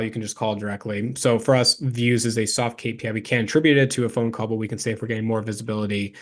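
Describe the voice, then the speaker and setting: A man giving an informal explanatory presentation as a consultant, speaking directly to the viewer with practical advice. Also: mid-range voice. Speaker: local SEO consultant presenting a case study.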